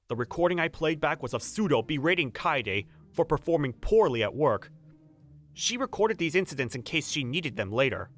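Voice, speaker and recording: A man's voice: on the high side, a bit bright. An actor playing an earnest, protective young male manager who narrates a romcom manga dub in first person. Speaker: narrator and lead character.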